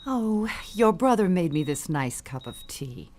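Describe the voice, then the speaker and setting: An actress playing an elderly, imperious, manipulative dying matriarch in an audio suspense drama, haughty and theatrical in manner. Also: female voice, on the low side, sing-song, a little slow, a little mellow, soft background music. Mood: sweetly scheming. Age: older.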